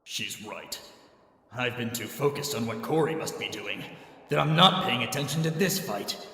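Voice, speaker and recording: A man playing an earnest, strained young fighter in an animated action story, intense and gravelly, with long reverb. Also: medium pitch, moderate pace, exaggerated voice. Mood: worried. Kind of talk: amateur animated action voice acting.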